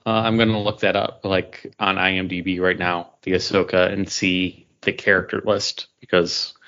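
Eager man with a low voice, lo-fi. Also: level tone, moderate pace, fairly bright tone. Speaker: podcast co-host. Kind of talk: casual geek news discussion.

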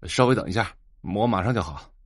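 An actor playing a young electronics stall owner in an audio drama, jovial, mocking and a bit preachy. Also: male voice, fairly low pitch, expressive, fairly quick, no room sound, clean studio sound. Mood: busy.

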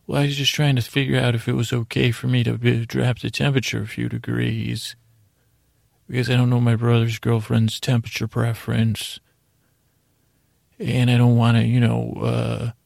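A man tells a meandering bedtime story as a podcast host. His voice is heavily gravelled with fry and stays in a narrow, even range. He halts, drifts and restarts phrases, all captured in a close, dry sound. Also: mid-range voice, no room sound. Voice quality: gravelly, creaky voice. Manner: halting, meandering, trailing off and restarting mid-phrase. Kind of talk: rambling bedtime storytelling monologue.

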